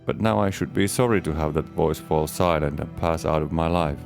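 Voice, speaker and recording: Very deep-voiced man reading a diary. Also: vocal fry, soft background music. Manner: measured, deadpan first-person storytelling. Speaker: audiobook reader. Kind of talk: dramatic reading of a diary as Adam.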